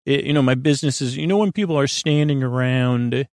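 Man, podcast host, creaky fry, dry close sound, meandering sleep monologue, halting, self-interrupting. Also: medium pitch, darkish tone, no room sound.

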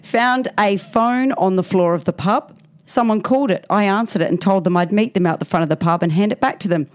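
A woman's voice: mid-range voice, a little fast. A woman co-hosting a chat podcast, reading out stories with frequent laughter and acting out bouncers. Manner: laughs often, acts out bouncers in gruff quotes. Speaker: podcast co-host.

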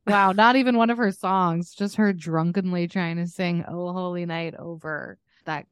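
Casting: female podcast co-host, lowish voice, informal and chatty, very dry close sound. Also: fairly even intonation, moderate pace, clear, resonant tone, no room sound. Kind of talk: casual chat.

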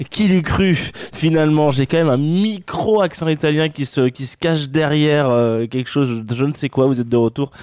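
A man's voice: a little high, moderate pace, darkish tone, no room sound. A man hosting a live radio show, chatting casually with plenty of fillers, restarts and self-mocking jokes. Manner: hesitant, many fillers and restarts. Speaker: radio host.

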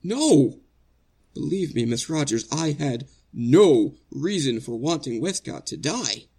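An actor playing an anguished innocent man facing execution in a 1940s-style radio drama, earnest and halting, stammering with emotion. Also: a little high, big pitch swings, creaky, dark tone, husky, heavily acted. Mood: shocked protest.

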